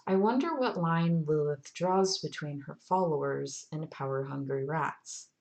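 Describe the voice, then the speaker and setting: A woman with a somewhat low voice delivers prepared commentary at a very unhurried pace. She sounds like a listener recording a measured message for a show. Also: darkish tone.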